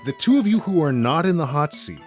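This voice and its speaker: A man's voice: on the high side, sing-song, fairly quick, faint music behind. A man hosting a casual podcast chat, introducing guests with playful jokes. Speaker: podcast host.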